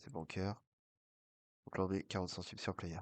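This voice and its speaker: A man's voice: low voice, steady tone, moderate pace, no echo. A man with a cold and a blocked nose, casually talking through an online baccarat session and muttering to himself between bets. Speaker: online casino streamer.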